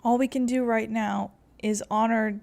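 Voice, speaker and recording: Gravelly-voiced woman streamer reading aloud. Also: a little high, fairly even intonation, moderate pace, darkish tone, a little hiss or hum. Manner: stumbling, candid. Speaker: game streamer. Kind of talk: reading dialogue aloud while playing.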